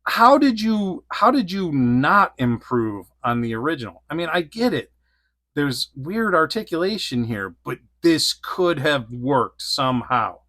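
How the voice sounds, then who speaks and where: 40s dude rambling about a toy, giggles a lot, bright punchy voice, dry close sound. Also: male voice, on the high side, sing-song, a little slow, dry recording. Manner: rambling, giggly, lots of fillers. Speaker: toy reviewer. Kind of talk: casual unboxing review.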